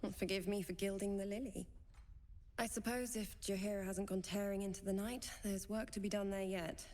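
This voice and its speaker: An actress playing a devout, spirited young cleric in a video game cutscene, speaking in an earnest, articulate and gently formal way. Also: female voice, medium pitch, steady tone, normal speed, lightly acted. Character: devout, eloquent young female cleric, gracious but spirited.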